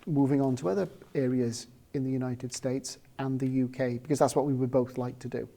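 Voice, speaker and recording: A man from South Wales, a parapsychologist guest talking in a measured, explanatory way with frequent ums. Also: mid-range voice, steady tone, gravelly, warm tone. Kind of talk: casual interview chat.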